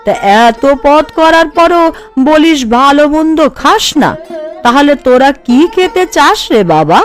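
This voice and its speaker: A woman's voice: high-pitched, lively intonation, unhurried, soft background music. A woman voicing an older, bossy, thrifty mother who scolds and frets over her family in a cartoon. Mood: indignant. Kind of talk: Bengali cartoon voice acting.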